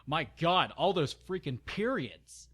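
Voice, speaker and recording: A man's voice: on the high side, sing-song, slow, a bit bright, breathy edge. A man narrating an amateur dramatic story reading, informal and stumbling, with exasperated, sarcastic asides. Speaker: narrator and channel host.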